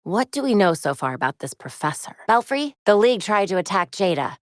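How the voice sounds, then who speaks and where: A woman's voice: mid-range voice, very animated, no room sound, natural voice. Woman acting a determined, wry young superhero, dry recording. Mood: urgent, businesslike. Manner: wry, brisk, determined delivery. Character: young female superhero vigilante, determined, wry, sharp-witted. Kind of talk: video game voice lines.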